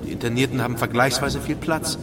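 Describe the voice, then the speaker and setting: An actor playing a thoughtful, resigned camp volunteer in a radio play, speaking in a matter-of-fact, pensive way. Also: male voice, mid-range voice, steady tone, fast talker, background noise, close to an everyday voice. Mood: sombre, resigned. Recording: echoing open space, loud outdoor ambience.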